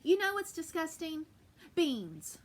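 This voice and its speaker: A woman voicing a grumpy, hungry male giant who booms his complaints loudly. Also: big pitch swings, measured pace, full character voice. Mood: grumbling.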